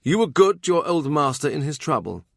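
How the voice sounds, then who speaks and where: An imperious middle-aged king from a historical novel, acted out by a male audiobook reader. His delivery is emphatic and commanding, lifted higher in pitch, in a very dry recording. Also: sing-song, a little fast, gravelly, a bit bright, dry recording, exaggerated voice. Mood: grave, resentful.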